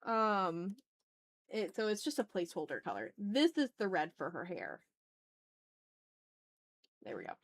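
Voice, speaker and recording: A woman's voice: mid-range voice, huge pitch swings, measured pace, pauses often. A woman chatting casually on an art livestream, thinking aloud with self-deprecating remarks and some swearing. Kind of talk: casual livestream chat while drawing.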